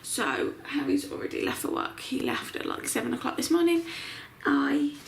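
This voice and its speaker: A young pregnant woman talks to a handheld camera in her bedroom, rambling along with lots of fillers. Her fairly high voice has a gravelly, croaky edge, and a faint hiss sits underneath. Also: very animated, moderate pace. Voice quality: croaky morning voice. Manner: chatty, with constant fillers. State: heavily pregnant. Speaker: vlogger. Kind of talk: casual vlog chat. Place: home bedroom.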